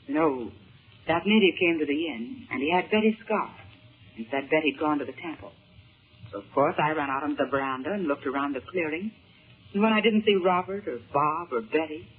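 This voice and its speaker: An actress playing a frightened, gentle mother held captive, in an earnest, dramatic 1930s radio serial style. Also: low-pitched, husky. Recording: muffled, tinny 1930s radio recording.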